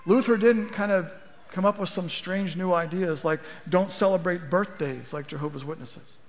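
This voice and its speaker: Middle-aged pastor with a wry, chatty delivery. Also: male voice, on the high side. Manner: conversational preaching with wry asides, gesturing at a lectern. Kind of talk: sermon. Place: large church auditorium stage. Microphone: headset mic. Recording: long hall reverb.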